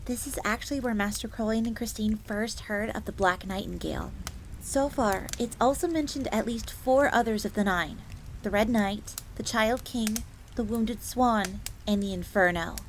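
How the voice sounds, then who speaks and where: Woman playing a high-voiced devoted fox maid. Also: moderate pace, close to an everyday voice. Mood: informative. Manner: speaks of herself in the third person, bookish, earnest. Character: devoted young fox maid servant, earnest and proud. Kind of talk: scripted roleplay audio drama storytelling. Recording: steady ambient noise, crackling fire.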